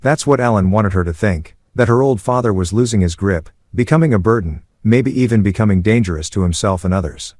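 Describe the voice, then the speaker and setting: Low-voiced male story narrator, dry close sound. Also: fairly quick, few pauses, darkish tone, dry recording. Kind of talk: first-person story narration.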